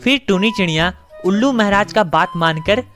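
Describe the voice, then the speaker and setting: A woman's voice: medium pitch, animated, normal speed, fairly bright tone, faint music behind. A woman narrator reading a scripted children's story.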